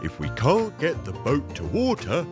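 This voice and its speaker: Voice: medium pitch, wildly swooping pitch, unhurried. A voice actor playing an earnest young panda in a preschool cartoon. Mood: earnest, apologetic. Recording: music playing behind.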